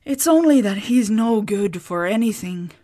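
A woman's voice: mid-range voice, expressive, moderate pace, mellow, natural voice. A woman voicing a blunt, exasperated farm wife. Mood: complaining.

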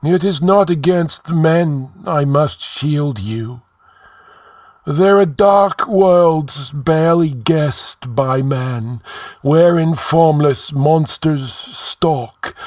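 A man's voice: a little high, darkish tone, no room sound, pitched above the performer's own voice. A man voicing an ancient ghostly sage in a fantasy audiobook, solemn, commanding and oratorical in an archaic way.

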